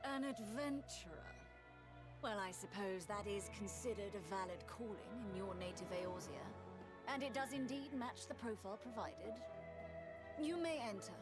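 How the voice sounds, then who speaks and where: An actress playing an officious female immigration official in a fantasy game, speaking in a formal, measured, reproving way. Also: medium pitch, natural voice. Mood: officious, skeptical. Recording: orchestral music behind the voice. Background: game music.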